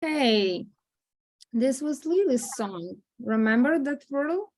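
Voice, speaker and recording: Gentle grandmother, reassuring, woman at a table read, slow pace, fairly high. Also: lively intonation, heavily acted.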